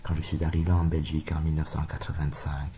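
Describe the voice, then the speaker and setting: Middle-aged man, very dark and mellow tone, digressive and insistent lecture. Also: a bass voice, level tone, fast talker, a little hiss or hum. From Cameroon. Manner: digressive, peppered with tag questions, insistent. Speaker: video lecturer. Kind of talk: rambling spoken monologue.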